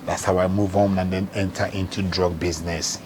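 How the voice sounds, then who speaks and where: A middle-aged man with a Nigerian accent recounts his past in a steady, earnest way. His voice sits low, and a faint hiss runs under it. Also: fairly quick. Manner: earnest, steady storytelling in plain words. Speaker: evangelist and charity founder, interview guest. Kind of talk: telling his life story in an interview.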